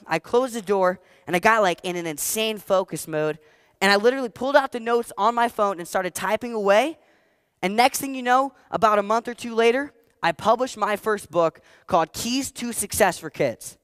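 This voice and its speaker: A fourteen-year-old boy giving a motivational talk on stage, energetic and emphatic, repeating his key phrases. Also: high voice, smooth, no room sound, studio quality. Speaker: motivational speaker. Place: stage before a live audience.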